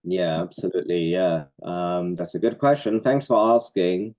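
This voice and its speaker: A man with a low, warm voice speaks in a reflective, earnest way, answering interview questions about his life as a poet and organizer. He pauses on fillers now and then and laughs softly. His tone stays calm and sincere. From Norway.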